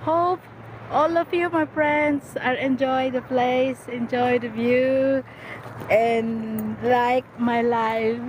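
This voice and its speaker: A woman with a Filipino accent and Tagalog as her first language hosts a casual outdoor live stream. Her voice is high and she speaks slowly, in halting, very grateful phrases. Clear background noise of the outdoors and traffic surrounds her. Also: fairly even intonation. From Philippines. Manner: effusively thankful, halting English phrasing. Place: outdoors at a scenic spot.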